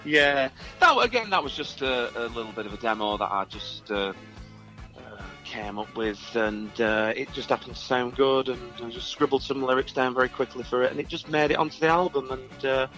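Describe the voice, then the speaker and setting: Male musician interviewee, bright forward voice, mid pitch, hesitant and modest delivery, faint music behind. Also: a little fast, rough recording. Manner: hesitant, self-effacing. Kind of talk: casual interview chat.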